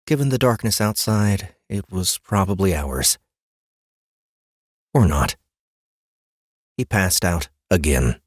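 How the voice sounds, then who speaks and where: A man narrating a scripted fantasy audiobook in an expressive, deliberate storytelling manner. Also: sits low, dry recording, clean studio sound. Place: dry studio.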